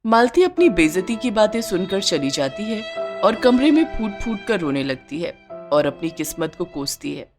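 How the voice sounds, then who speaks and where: Brief: female storyteller, expressive, mid-pitched, faint music underneath. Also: moderate pace. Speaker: narrator. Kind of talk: scripted storybook narration.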